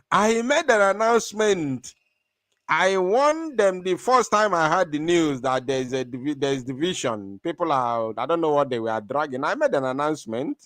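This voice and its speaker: A man's voice: fairly high pitch, expressive, normal speed, fairly bright tone, no room sound, studio quality. A man hosting an online stream, talking in a defiant, taunting way, laughing often and repeating his phrases. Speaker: online show host. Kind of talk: animated live-stream monologue.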